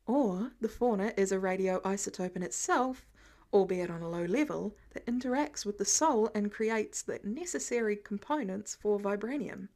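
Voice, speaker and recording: Woman voicing a mild, measured male scientist. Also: a little mellow, natural voice. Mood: explaining. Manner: measured, low-key. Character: mild, thoughtful adult male research scientist.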